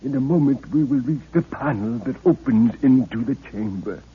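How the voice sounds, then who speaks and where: An actor playing a devoted old palace servant who speaks with solemn, archaic formality in 1930s radio serial style. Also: male voice, medium pitch, animated, normal speed, breathy edge. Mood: hushed, grave. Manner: formal, archaic thee-and-thy phrasing. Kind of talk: 1930s radio adventure acting. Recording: tinny, noisy 1930s radio recording.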